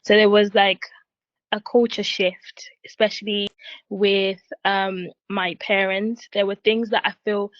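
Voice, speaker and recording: A woman's voice: medium pitch, little pitch movement, unhurried, no echo. A woman in her twenties, born in Zimbabwe and raised in the UK, speaking thoughtfully on a panel with hesitant fillers. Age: 20s. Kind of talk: panel discussion on a video call. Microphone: video call. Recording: dull, dry video call audio.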